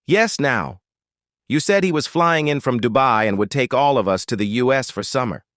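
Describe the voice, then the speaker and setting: A man with a Nigerian accent voicing an excitable, nosy young female student in a story, exclamatory and teasing. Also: medium pitch, moderate pace, no echo, clean studio sound, voice pushed up, lightly acted. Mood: pressing, curious.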